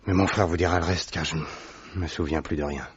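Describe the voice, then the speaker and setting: A man's voice: a bass voice, steady tone, fairly quick, husky, no room sound, full character voice. An actor playing a distraught young diplomat who recounts his ordeal earnestly. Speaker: narrator. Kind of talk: radio drama narration. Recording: dry, dull lo-fi sound.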